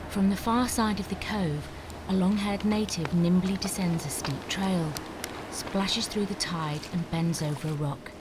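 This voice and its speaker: A woman's voice: lowish voice, background noise. Woman narrating screenplay directions. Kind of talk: scripted narration of stage directions.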